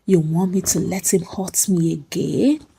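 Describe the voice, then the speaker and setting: A woman's voice: lowish voice, fairly even intonation, unhurried, creaky, mellow, breathy edge, full character voice. A woman voicing a frightened, battered wife finding courage, hesitant and pleading, in a told story. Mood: anxious.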